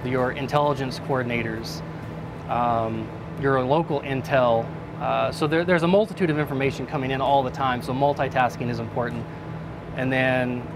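Relaxed man walking through a cockpit. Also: mid-range voice. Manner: relaxed, plain-spoken, with frequent fillers. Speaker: sensor operator explaining a drone cockpit. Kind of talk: casual explanatory walkthrough. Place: inside a small equipment-filled control station.

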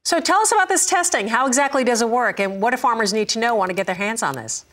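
A woman's voice: on the high side, animated, fast, a bit bright. Middle-aged woman news anchor. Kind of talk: farm news interview. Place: TV studio.

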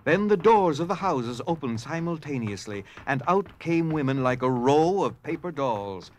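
A man's voice: a little high, animated, moderate pace, slight background noise. A man narrating a scripted story dramatically in an audio drama.

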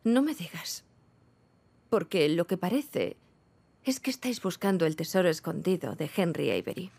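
An actress playing a hurt, sharp-tongued wife in her thirties in a dubbed game, sarcastic and reproachful, with a Castilian Spanish accent. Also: on the low side, animated, normal speed, vocal fry, slightly rough, full character voice. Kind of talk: dubbed video game cinematic acting.

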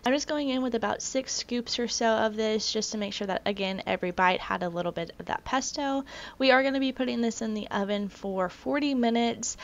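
Casual woman narrating a recipe, lo-fi. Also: mid-range voice. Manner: casual, self-deprecating. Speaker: cooking vlogger.

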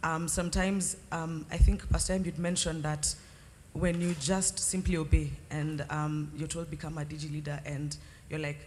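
Woman from the congregation answering informally, halting delivery, reverberant PA sound with slight background noise. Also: sits low, level tone, darkish tone. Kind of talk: informal spoken feedback. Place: large open-sided tent gathering. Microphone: handheld microphone. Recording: noisy reverberant hall sound.

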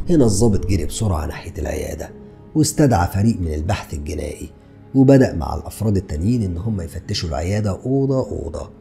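Fast, gravelly Egyptian man telling true crime. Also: on the low side, dark tone. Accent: Egyptian Arabic. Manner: addresses listener directly with rhetorical questions. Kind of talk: dramatic true crime narration. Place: small dark studio indoors. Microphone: podcast mic on stand in front.

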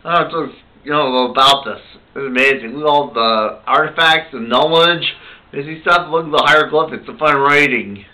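A man improvising amateur puppet show dialogue as the puppeteer, with a halting, rambling delivery. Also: medium pitch, pushed and bright, low-quality recording. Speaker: puppeteer voicing all the characters.

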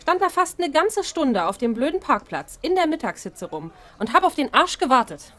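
A woman's voice: high voice, very animated, moderate pace, fairly bright tone. A woman playing a nosy, feisty young bartender with a blunt, gossipy, colloquial way of talking. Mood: annoyed. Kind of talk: audio drama acting.

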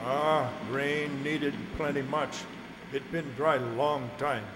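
A man's voice: mid-range voice, steady tone, slow, natural voice. A man playing a loyal Indian companion in an old-time radio western, speaking stilted pidgin on a hall stage. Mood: easygoing. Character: loyal, plain-spoken Indian companion of a masked cowboy. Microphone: stand microphone. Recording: echoey hall, some noise.

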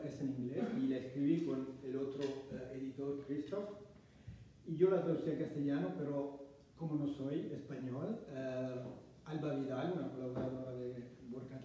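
A man from Italy giving an academic lecture in Spanish, measured and reading from notes, recorded from far off in a small lecture room. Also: mid-range voice, dark tone, heavy reverb, a little hiss or hum. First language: Italian. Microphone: far camera.

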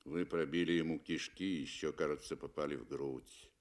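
A man's voice: extremely low pitch, fairly even intonation, moderate pace, pitched below the performer's own voice. A man voicing an unflappable hunting guide, curt and matter-of-fact, in a 1960s radio play.

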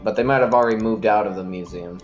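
A man's voice: on the low side, fast talker, highs cut off. A man playing a tabletop game, chatting casually with joking, crude banter. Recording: music behind the voice. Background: background music.

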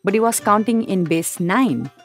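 A woman explains playfully in a radio promo. Her voice is mid-pitched, with light music underneath. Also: expressive, normal speed.

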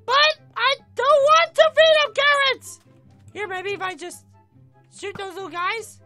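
A woman playing an excitable, easily flustered young cartoonish heroine in a Minecraft roleplay, exclaiming and repeating her phrases. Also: very high-pitched, unhurried, no echo, soft background music, heavily acted. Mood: flustered muttering. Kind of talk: Minecraft roleplay gameplay acting.

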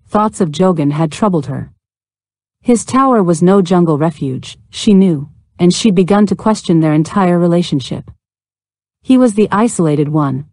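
A woman's voice: lowish voice, mellow, no echo. A woman reading scripted narration from a science fiction novella as an audiobook narrator.

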